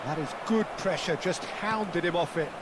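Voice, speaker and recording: A man's voice: sits high, normal speed, a loud crowd, very noisy. A man doing scripted play-by-play commentary in a football video game, rising sharply when goals are scored. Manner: excitable, soaring on goals.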